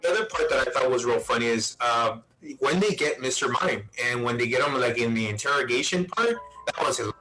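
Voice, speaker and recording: A man's voice: mid-range voice, lively intonation, brisk, pushed and bright, low-quality recording. A man hosting an informal chat, talking in a casual, buddy-to-buddy way. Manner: informal, saying "man" and "bro" often. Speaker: host. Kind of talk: casual chat about video game movies. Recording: music playing behind the voice. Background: music.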